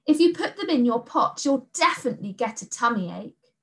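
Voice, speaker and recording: A woman voicing a brave, quick-thinking young girl who bossily talks a beast out of his recipe. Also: on the high side, big pitch swings, voice pushed up. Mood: persuasive. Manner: bright, bossy, persuasive.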